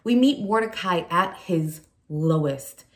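A woman's voice: on the low side, expressive, takes it slowly. A young adult woman youth pastor from Nigeria, raised in California, giving an earnest chapel sermon with prayer and personal stories.

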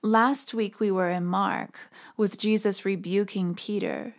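A woman hosts a podcast in a conversational but scripted style. She explains religious material informally, with a middling pitch for a woman. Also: fairly even intonation, measured pace. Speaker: podcast host.

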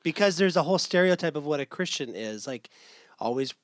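A playful podcast co-host chatting casually. The pitch sits in the middle of the range but moves a great deal. The sound is dry and close. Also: fairly quick, no room sound. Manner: animated, playful, fond of mimicking voices. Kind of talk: casual conversation.

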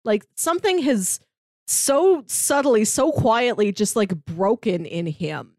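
A woman with a fairly high voice recaps a story in casual podcast chat. She talks animatedly, acts out quotes in little voices and throws in quick asides. The sound is dry and close, with no room echo. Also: lively intonation, a little mellow. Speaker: podcast co-host.

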